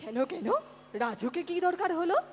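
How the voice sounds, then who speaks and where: Woman acting a bossy loyal maid, high lively voice, Hindi-accented Bengali, blunt, stage drama, long reverb. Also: moderate pace, a little hiss or hum. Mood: nagging. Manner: blunt, nosy, mixes in Hindi words. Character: brash, stubborn, loyal longtime housemaid. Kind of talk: dramatic play reading.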